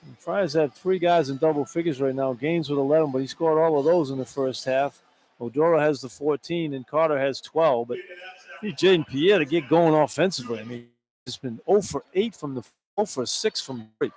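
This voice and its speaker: A man's voice: on the high side, normal speed, a little mellow, rough recording. A man giving live color commentary on a basketball radio broadcast, informal, blunt and opinionated. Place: broadcast position at an indoor arena. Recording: faint background noise, arena ambience. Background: faint arena noise.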